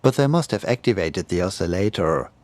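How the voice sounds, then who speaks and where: A man's voice: low voice, lively intonation, brisk, a little mellow, no echo. A man reading a scripted horror story as its narrator.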